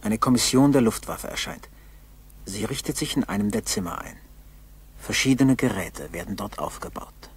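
A man narrating a 1960s German school radio play in a solemn, measured, scripted style. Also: fairly low pitch, animated, creaky, husky. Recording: 1960s radio tape with background noise.

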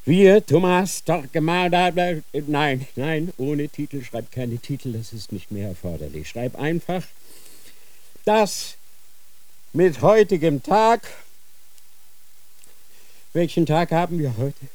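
A man acting a dying old Grand Inquisitor in a radio drama, dictating slowly and solemnly in a feverish state. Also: fairly high pitch, animated, darkish tone, no room sound. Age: older. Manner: slow, solemn dictating with sudden feverish outbursts.

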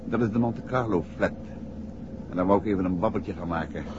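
An actor playing a self-assured, wry detective husband with a brisk, teasing manner, in 1960s radio drama style. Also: male voice, deep voice, a little mellow, lightly acted. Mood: matter-of-fact. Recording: dull, noisy 1960s radio recording.

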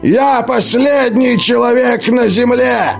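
A man voicing a bitter, raging old man with a hoarse, cracked voice in an audiobook. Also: extremely high pitch, soft background music, voice pushed up, exaggerated voice. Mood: defiant. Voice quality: hoarse, creaky. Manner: shouting slow and cracked. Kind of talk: audiobook character voice.

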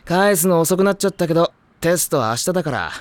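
A man's voice: on the high side, animated, quick pace, slight background noise, close to an everyday voice. An actor playing a laid-back, casual teenage boy teammate in an anime drama CD. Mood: breezy. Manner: casual, breezy.

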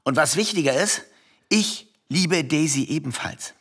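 Arrogant rich husband in a radio drama, bright forceful voice, kinda high, bossy n scornful. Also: male voice, expressive, unhurried, breathy edge, heavily acted. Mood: pleading, insistent. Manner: domineering, contemptuous. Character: arrogant, possessive wealthy husband, scornful and domineering. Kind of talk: German radio play acting.

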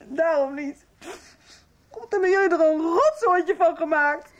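A woman's voice: very high-pitched, lively intonation, measured pace, muffled recording. A young woman acting an upset, accusing teenage daughter in a radio soap. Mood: sullen, bitter. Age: young adult.

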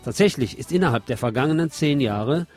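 A man's voice: mid-range voice, animated, fairly quick, a little mellow, faint music behind. A man presenting a radio magazine as host, reading scripted narration with personal asides.

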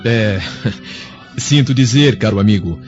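A man's voice: fairly low pitch, takes it slowly. An actor with a Brazilian accent playing a scheming, mocking Roman man in a radio drama, insinuating and theatrical. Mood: gloating. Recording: dull lo-fi audio with music.

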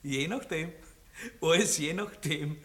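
A man's voice: a little high, slow. An actor playing a boorish, lecherous middle-aged nobleman in a 1960s radio play, boastful and rambling, with an Austrian accent.

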